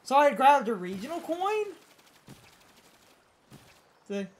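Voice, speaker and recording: Animated high-voiced young woman doing gaming commentary. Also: slow. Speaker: let's play co-host and editor. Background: video game music.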